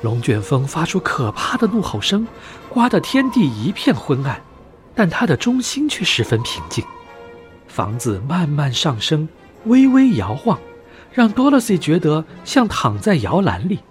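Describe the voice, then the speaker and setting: A man narrating a bedtime fairy tale for young children in an expressive, dramatic storytelling manner. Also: on the high side, unhurried. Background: background music.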